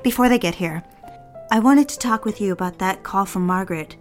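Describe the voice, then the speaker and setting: An actress plays a young secretary and daughter, courteous yet restless, in a period radio play. Her pitch sits mid-range for a woman, with soft music underneath. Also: brisk, close to an everyday voice. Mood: earnest, uneasy. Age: young adult. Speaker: actor in an audio drama. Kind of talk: scripted period audio drama dialogue.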